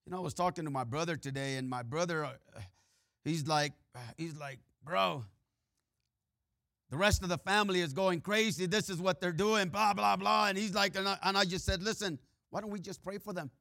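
Male preacher, Mexican-Texan accent, bright forceful tone, filler-heavy delivery. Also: sits high, moderate pace, vocal fry, dry recording, clean studio sound. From Mexico and Texas. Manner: frequent "uh" and "amen" fillers, swings between loud preaching and quiet asides. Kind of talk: sermon.

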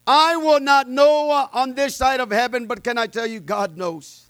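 A fervent male preacher with a very high, bright, forceful voice that rings smooth and clear. He is loud by his own account and scatters amens and fillers through his testimony. The sound is dry and close. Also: fairly even intonation, normal speed, no room sound. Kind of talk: sermon with personal testimony.

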